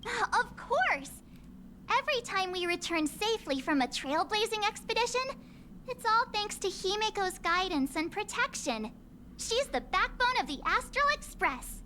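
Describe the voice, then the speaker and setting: A woman's voice: very high-pitched, sing-song, unhurried, pushed and bright, a little hiss or hum, full character voice. A woman voicing a perky, girlish young crewmate in a video game, chatty and loyal. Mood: earnest, proud. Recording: music behind voice.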